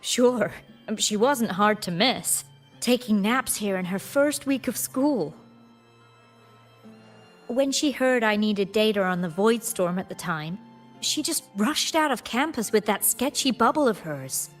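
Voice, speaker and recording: An actress playing a kind young graduate student in a video game, gently teasing and earnest, with slight hesitation. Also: female voice, mid-range voice, expressive, unhurried, soft background music. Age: young adult.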